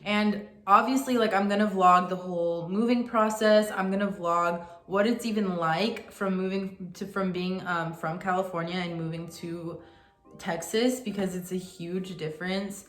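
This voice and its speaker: A young woman talking casually and earnestly to her viewers, sometimes in quick bursts. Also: fairly low pitch, fairly even intonation, fairly bright tone, roomy sound, soft background music. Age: young adult. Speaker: vlogger. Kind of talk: casual chat to camera.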